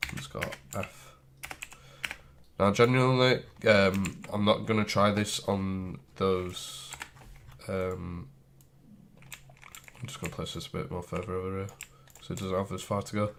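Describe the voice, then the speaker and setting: A man's voice: deep voice, fairly even intonation, measured pace, pauses often, a bit bright, a little hiss or hum. A man with a cold, sniffling, casually talking through a game tutorial as he clicks and types. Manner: thinks aloud while clicking and typing, loose and chatty. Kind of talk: casual screen-recorded tutorial.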